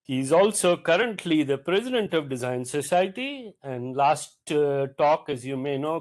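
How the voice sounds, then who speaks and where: Male host reading a speaker introduction, mid-pitched and hesitant, with plenty of fillers.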